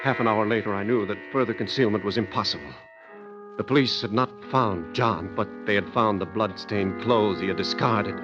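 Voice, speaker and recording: A man's voice: lowish voice, moderate pace, music in the background, natural voice. A man acting in an old-time radio thriller as a frantic brother who tells the story, theatrical and agitated. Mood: grave narration. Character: frantic man pleading for his brother, also narrating. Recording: muffled vintage radio recording.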